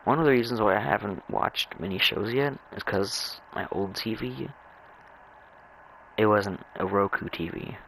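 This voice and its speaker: A young man with a low, gravelly voice talks casually as he plays a game. He rambles in a halting way, often stammering and repeating words. A faint noise sits under his voice on what sounds like a home microphone. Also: fairly bright tone, rough recording. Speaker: gamer narrating own gameplay. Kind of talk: rambling casual chat over gameplay.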